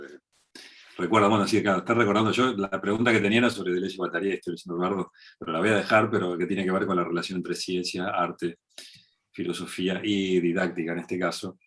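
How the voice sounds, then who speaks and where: A man moderating an online academic interview on a video call, speaking in a loose, halting way while relaying questions from the chat. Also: low-pitched, fairly bright tone, slightly rough.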